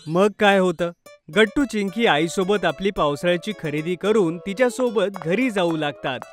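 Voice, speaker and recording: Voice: medium pitch, normal speed, soft background music. A narrator reading a scripted children's story.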